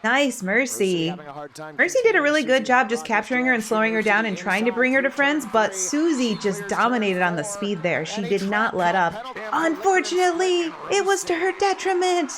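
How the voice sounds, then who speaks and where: A woman giving casual, playful sports commentary on a game, expressive and quick to act out what players might be thinking. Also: a little high. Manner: playful, expressive, voices imagined thoughts of players. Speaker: roller derby commentator and former player. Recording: crowd noise behind the voice. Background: roller derby game crowd.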